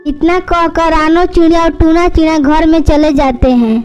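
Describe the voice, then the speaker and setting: A woman's voice: very high-pitched, steady tone, moderate pace, a little mellow, faint music behind. A woman reading scripted narration for a children's cartoon story.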